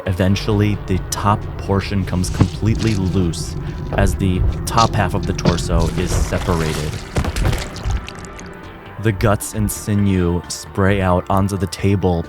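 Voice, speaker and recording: A man acting as game master, narrating a tabletop horror game with vivid, gory descriptions. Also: low-pitched.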